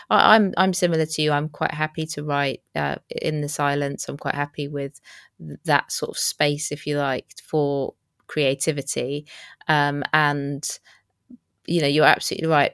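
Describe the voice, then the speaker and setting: Low-voiced woman hosting a podcast. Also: dry recording, clean studio sound. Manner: affirming, thoughtful, some hesitations. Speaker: podcast host. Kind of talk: casual podcast interview.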